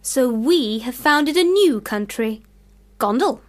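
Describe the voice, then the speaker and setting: A proud, dreamy young poet sister with a fairly high voice, recorded close and dry. Her lines are measured and lyrical, in the formal manner of a period radio play. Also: expressive, dry recording. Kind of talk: radio drama acting.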